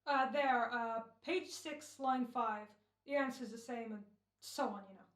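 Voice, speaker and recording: A woman playing a brisk, bossy, wry and self-assured radio director in an old-time comedy radio play. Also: fairly high pitch, reverberant, lightly acted. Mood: bossy, impatient.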